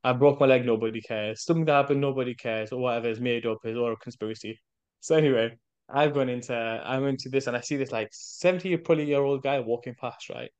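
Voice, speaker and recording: A British man chatting casually as a podcast guest, telling animated anecdotes and acting out bits of dialogue in a chatty way. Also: mid-range voice, fast, clean tone, dull audio. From United Kingdom. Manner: chatty, animated storytelling with self-quoted dialogue.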